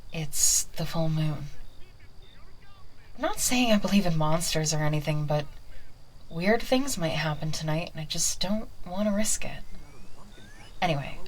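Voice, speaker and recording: Woman playing a friendly werewolf girl, lowish voice with lots of fry, chatty protective asmr roleplay. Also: slight background noise, rough recording. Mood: caring, casual. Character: friendly young female half-human werewolf, defensive, protective. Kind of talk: ASMR roleplay, acted monologue to the listener.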